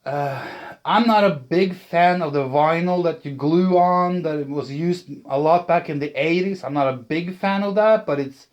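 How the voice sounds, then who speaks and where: A man talking casually and unscripted about a hobby build, thinking aloud in a hesitant, halting way as he presents. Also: a little high, muffled recording. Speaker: channel host, speaker builder.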